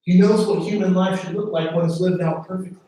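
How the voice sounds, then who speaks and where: A man's voice: sits high, steady tone, a little fast, warm tone, slight background noise. A man preaching a sermon as pastor, earnest, often repeating words as he starts a phrase. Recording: very echoey room from a distance.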